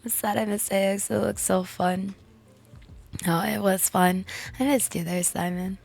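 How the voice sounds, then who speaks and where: A young woman streaming a casual chat with viewers, hoarse after losing her voice, raspy and breathy, often giggling and slipping into whispers. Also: mid-range voice, steady tone, measured pace, a little mellow. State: hoarse, lost voice.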